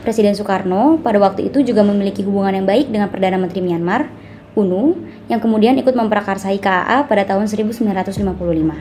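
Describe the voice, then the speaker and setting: A woman's voice: mid-range voice, fast talker, mellow, slight background noise. A young woman narrating a scripted explainer as a student presenter. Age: young adult.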